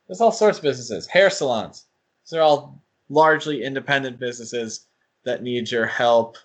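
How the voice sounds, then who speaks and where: A man hosting a podcast in a casual, conversational chat style. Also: mid-range voice. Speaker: podcast host.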